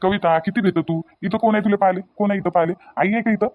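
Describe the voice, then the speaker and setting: A man's voice: high voice, fairly even intonation, fast, narrow, tinny audio, natural voice. A man voicing a young newlywed husband in a cartoon, doting and a little impatient, coaxing insistently in a Varhadi Marathi accent from Vidarbha. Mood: impatient reassurance. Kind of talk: cartoon dialogue acting in colloquial dialect.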